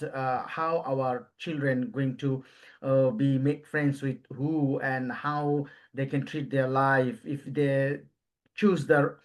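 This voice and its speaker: A man from Bangladesh, hosting a casual podcast interview and often pausing to search for words. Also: mid-range voice. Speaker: podcast host.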